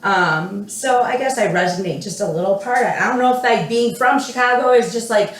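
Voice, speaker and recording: American woman, mid-pitched, in echoey room. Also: normal speed. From United States. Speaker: panel guest. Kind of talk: casual chat. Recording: echoey room, distant mic.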